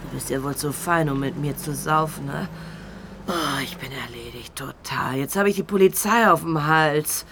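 A woman's voice: low-pitched, moderate pace, background noise. An actress playing a blunt, grumbling, hard-drinking shopkeeper woman in a German radio crime drama, talking in street slang. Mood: weary, grumbling.

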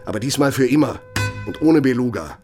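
A man's voice: mid-range voice, expressive, darkish tone, faint music behind, natural voice. An actor playing a bitter, sardonic older former right-hand man in a radio play, speaking with needling, threatening emphasis. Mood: angry, accusing. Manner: sarcastic, needling, emphatic, drawn-out phrasing for menace. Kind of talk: radio play dramatic monologue.

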